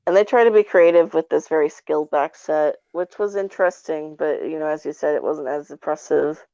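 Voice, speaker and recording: A woman's voice: low-pitched, a little fast, dark tone, dry recording, muffled recording. A woman in a casual review chat, talking in a nerdy, hedging way as a podcast co-host. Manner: hedging, self-described nerdy enthusiast.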